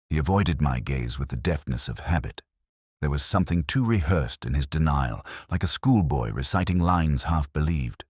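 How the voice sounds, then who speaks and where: Very deep male story narrator, dry close sound. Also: darkish tone, slightly rough, no echo. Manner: measured, reflective, melancholy storytelling. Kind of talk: scripted literary detective story narration.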